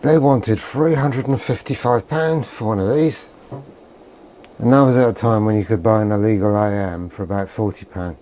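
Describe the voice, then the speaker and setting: Older British male, lowish mellow voice, sardonic grumbler, unscripted vlog delivery. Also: normal speed, slight background noise. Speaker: presenter of a vintage radio video. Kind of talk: rambling unscripted vlog commentary.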